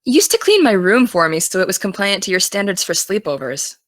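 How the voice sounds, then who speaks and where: A young American woman playing an excitable, teasing teenage aspiring journalist in naturalistic, casual audio drama dialogue. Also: mid-range voice, a little fast, no echo, studio quality, lightly acted.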